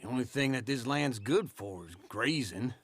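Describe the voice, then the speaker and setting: A man playing a lazy, wisecracking old layabout in a western video game, with a gravelly, folksy rural American drawl. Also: mid-range voice, animated, moderate pace. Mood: scornful, needling. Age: older.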